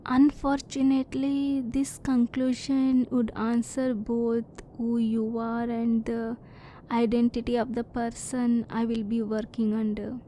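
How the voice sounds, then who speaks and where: A softly mellow, fairly high-voiced alien woman, as a woman acts her out in an ASMR roleplay. She is a curious, reserved scientist who speaks slowly, with formal, precise wording. A low rumbling noise sits faintly behind her. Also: flat delivery, lightly acted, low-quality recording. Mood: grave, apologetic. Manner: formal, precise, measured, emotionally restrained.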